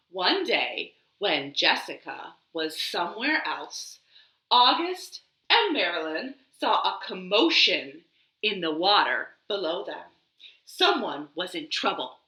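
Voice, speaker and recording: A woman hosting a children's storytime, reading aloud expressively with dramatic pauses and drawn-out words. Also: medium pitch, pushed and bright. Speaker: library storytime host. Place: living room. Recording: echoey living room.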